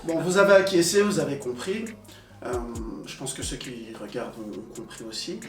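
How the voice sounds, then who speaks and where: A young man who grew up in Belgium, telling his own experiences in a relaxed group talk with many fillers and pauses. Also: mid-range voice. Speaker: panel guest. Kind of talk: casual conversation. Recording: echoey room, distant mic, soft music.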